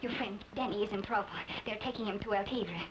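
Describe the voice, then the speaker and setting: A woman's voice: medium pitch, quick pace, close to an everyday voice. A woman playing an urgent Mexican señorita. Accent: put-on Mexican Spanish. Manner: urgent and earnest. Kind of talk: 1950s radio drama acting. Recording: muffled old radio broadcast with hiss.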